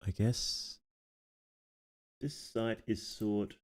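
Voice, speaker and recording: Low-voiced man streaming casually. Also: steady tone, very slow, a little hiss or hum. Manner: reads text aloud, muses and reacts aloud. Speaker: streamer reading on-screen text and reacting. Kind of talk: casual livestream reading and commentary.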